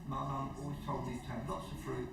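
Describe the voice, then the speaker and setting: A man's voice: medium pitch, flat delivery, fast, vocal fry. A man in the audience asking jokey, curious questions at a health talk, heard from off the microphone. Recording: echoey hall with background noise.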